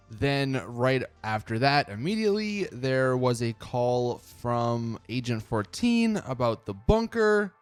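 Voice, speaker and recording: A young man delivers casual gaming commentary in a fairly high voice. His pitch swings widely as he complains with exasperated emphasis. The recording is close and dry, with music playing faintly underneath. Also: dry recording. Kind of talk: casual opinion commentary over gameplay. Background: background music.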